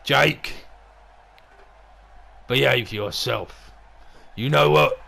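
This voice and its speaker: A man playing a gruff, bullying wrestling boss and commentator with a throaty growl and a crude, sweary, threatening way of talking. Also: medium pitch, very slow, pushed and bright, heavily acted. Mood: contemptuous. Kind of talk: wrestling commentary with in-character banter.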